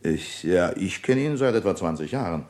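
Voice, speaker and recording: A man's voice: low voice, expressive, normal speed, a little mellow. A man playing an earnest businessman giving his account as a witness in a German radio crime drama, explanatory and a little hesitant. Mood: insistent. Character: earnest businessman, male witness at gentlemen's club.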